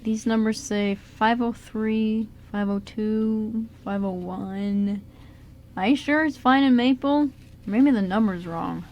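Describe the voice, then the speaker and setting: A woman's voice: fairly high pitch, slow pace, a little mellow. A woman playing a jittery, wisecracking young male housebreaker in an amateur radio drama, with a casual, teasing delivery. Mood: teasing, curious.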